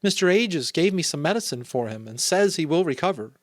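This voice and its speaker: A man with an American accent voicing a gentle mother field mouse in a storybook, soothing and reassuring. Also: a little high, animated, fairly quick, dry recording, clean studio sound, voice pushed up, close to an everyday voice.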